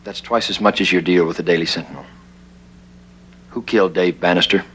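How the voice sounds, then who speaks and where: An actor playing a cool, terse masked vigilante in a 1960s American television crime drama, questioning with authority. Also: male voice, low voice, fairly even intonation, brisk, a bit bright, slight background noise. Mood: firm, pressing. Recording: 1960s TV soundtrack with music underneath.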